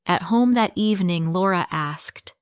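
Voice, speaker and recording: A woman reading a simple first-person story for English learners, in slow, clearly spoken sentences. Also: fairly low pitch, dry recording, clean studio sound.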